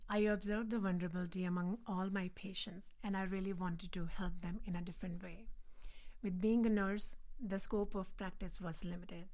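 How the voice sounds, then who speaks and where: A woman in her forties from India, speaking English with an Indian accent as a guest on a podcast, earnest and measured. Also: medium pitch, a little mellow. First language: not English. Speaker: guest, nurse anesthetist. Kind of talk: podcast interview.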